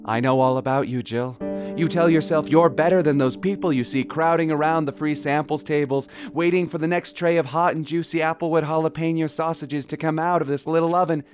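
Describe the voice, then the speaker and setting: A man's voice: fairly high pitch, fairly quick, natural voice. A man playing a knowing supermarket sample vendor who speaks like a solemn messenger. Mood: knowing, insinuating. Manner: calm, insinuating, preacherly. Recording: music under the voice.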